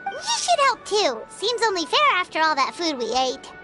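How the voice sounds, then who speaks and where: A woman voicing a tiny, gluttonous, childlike fairy companion in an anime-style video game, with a squeaky voice, speaking of herself in the third person. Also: wildly swooping pitch, moderate pace, bright, forceful tone, faint music behind, heavily acted. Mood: matter-of-fact.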